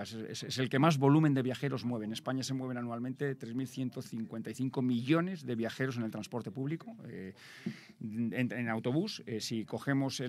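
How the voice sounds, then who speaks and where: A man with a Spanish accent answering interview questions as an industry representative, explaining in detail and often repeating words as he goes. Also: mid-range voice. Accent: Spain. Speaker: bus industry association president, interviewee.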